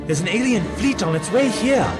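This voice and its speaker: A man acting a brisk, earnest, commanding time-travelling hero in an audio drama. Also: high-pitched, expressive. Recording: loud music behind the dialogue.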